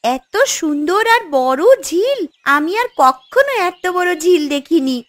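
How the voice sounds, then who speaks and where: A woman's voice: very high voice, sing-song, a little slow, a bit bright, exaggerated voice. A woman voicing an honest, wide-eyed little village granddaughter in a fairy-tale cartoon, exclaiming and questioning earnestly. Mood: amazed.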